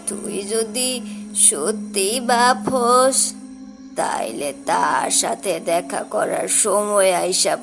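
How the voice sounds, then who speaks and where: Woman acting a very slow, creaky old village grandmother. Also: mid-range voice. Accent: rural Bangladeshi Bengali. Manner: slow, drawn-out, foreboding delivery. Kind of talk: audio horror story acting.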